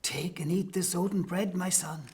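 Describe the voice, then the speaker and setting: A man's voice: high-pitched, fairly even intonation, normal speed, husky. A man playing a kindly, solemn Irish priest in an audio play, speaking in archaic, declamatory phrases.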